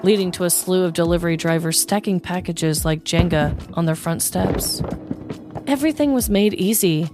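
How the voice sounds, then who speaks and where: An American woman hosts a storytelling podcast, reading scripted comic true-crime narration. Her voice sits somewhat low for a woman. Soft music runs behind her. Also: normal speed.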